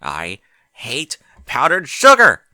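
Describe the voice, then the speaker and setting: A man's voice: mid-range voice, huge pitch swings, takes it slowly, pushed and bright, no echo, studio quality, pitched above the performer's own voice, exaggerated voice. A man voicing a fretful, bookish young pony mare, anxious and easily flustered. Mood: exasperated.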